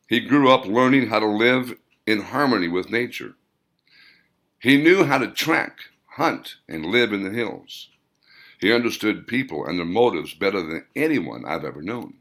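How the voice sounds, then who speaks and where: A man reading scripted audiobook fiction in a deliberate storytelling manner, with dramatic emphasis. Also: on the low side, a bit bright. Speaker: author reading own novel.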